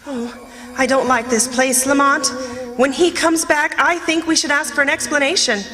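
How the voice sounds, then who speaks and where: A woman's voice: sits high, little pitch movement, normal speed, sharp tone. An actress playing an anxious, curious lady companion in old-time radio mystery style, with a dramatic, ladylike manner. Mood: frightened. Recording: long hall-like reverb on the voice.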